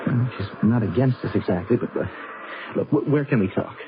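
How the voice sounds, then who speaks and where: A man's voice: mid-range voice, lively intonation, a little fast, noisy background, lightly acted. An actor playing an earnest, defiant young man in love, urgent and insistent, in a seventies radio drama. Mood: urgent, persuasive. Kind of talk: 1970s radio drama acting. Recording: dull vintage radio recording.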